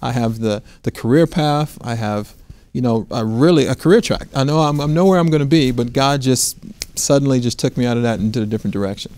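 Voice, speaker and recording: A man's voice: mid-range voice, brisk, darkish tone, no room sound. Earnest American man, dry close sound. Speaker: interview guest, restaurant owner. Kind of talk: conversational interview storytelling. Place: quiet indoor studio set with armchairs. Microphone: lapel mic.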